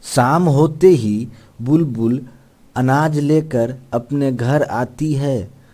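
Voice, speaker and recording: A man's voice: medium pitch, very slow, warm tone. A man reading scripted narration for a children's cartoon story.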